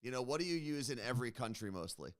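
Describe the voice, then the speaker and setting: A man's voice: medium pitch, lively intonation, fast. A man hosting a morning show, asking a guest long, curious questions in a casual chat. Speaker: radio show host. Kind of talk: interviewing a guest.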